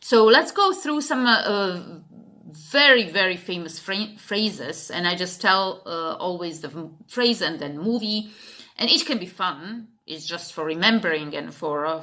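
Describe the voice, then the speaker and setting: A woman with a Slovak accent, a native Slovak speaker, talking casually to camera as an English teacher, halting and restarting as she searches for words. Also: fairly low pitch, sharp tone.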